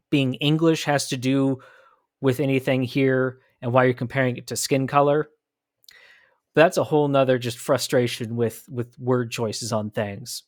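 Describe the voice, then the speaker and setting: A man delivers opinionated commentary as a podcast host. His voice sits at a middle pitch, and he hammers points home by repeating phrases and answering his own rhetorical questions. The sound is dry and close, with no room echo.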